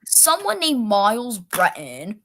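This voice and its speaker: A woman's voice: fairly high pitch, huge pitch swings, slow, fairly bright tone, a little hiss or hum. A woman guest chatting casually on a video call, teasing and quizzing the others.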